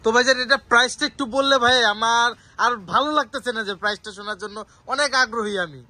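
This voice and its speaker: Piercingly bright, high-voiced Bangladeshi promo host. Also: male voice, brisk. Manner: eager, echoes and repeats the seller's points. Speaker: promotional co-host from the toy shop. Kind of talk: casual promotional interview.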